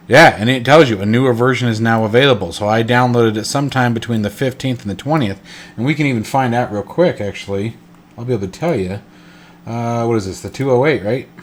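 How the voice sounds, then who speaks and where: Stuffy-nosed man rambling through a tutorial. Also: mid-range voice. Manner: rambling, self-correcting, lots of fillers. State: congested, stuffed up. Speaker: flight sim channel host. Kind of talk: casual screen-recorded tutorial.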